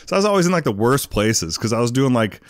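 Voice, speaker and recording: A man's voice: medium pitch, sing-song, fast talker, no echo, studio quality. A man telling his life story as a guest in a casual interview, rambling with lots of fillers. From England. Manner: rambling, many fillers, "you know what I mean".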